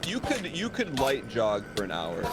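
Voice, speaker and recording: A man of thirty chatting as a guest on a gaming stream, teasing and upbeat and quick with a compliment. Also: medium pitch, huge pitch swings, very noisy. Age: 30s. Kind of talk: casual gaming stream chat.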